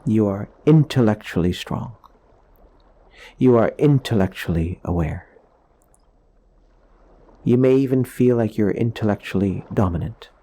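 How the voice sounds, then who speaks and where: A man leading a guided meditation in a soothing, gentle, explanatory way, leaving pauses between phrases. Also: on the low side, expressive.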